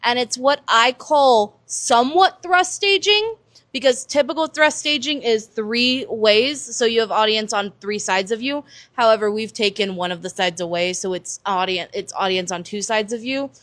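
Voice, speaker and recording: A woman's voice: a little high, moderate pace, fairly bright tone, no echo. An American woman answering interview questions in a conversational, explanatory way. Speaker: production stage manager, interview guest.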